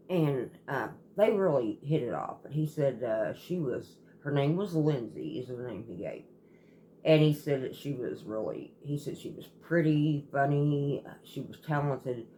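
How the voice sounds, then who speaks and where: A woman telling a story casually as a host, in a halting, rambling way that often circles back and repeats. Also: a bass voice, a little mellow, a little hiss or hum. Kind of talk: casual storytelling, retelling a viewer's account.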